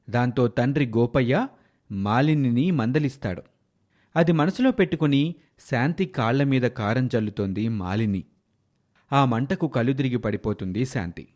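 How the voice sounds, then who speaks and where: A man narrating a scripted moral story as a storyteller, in a dramatic, expressive way. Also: medium pitch.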